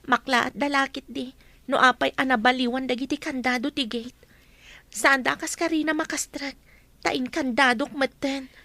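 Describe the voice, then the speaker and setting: Woman acting a high, bright, wronged young woman. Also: fairly even intonation, moderate pace, dry recording. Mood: worried, subdued. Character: wronged younger woman, hurt and demanding justice. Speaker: actress in an audio drama. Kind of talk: Ilocano radio drama acting.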